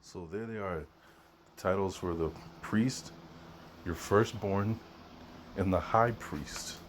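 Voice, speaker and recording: A man's voice: low voice, takes it slowly, slight background noise. A man hosting, commenting casually on a text being read aloud, musing and conversational. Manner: musing, conversational, with fillers and asides.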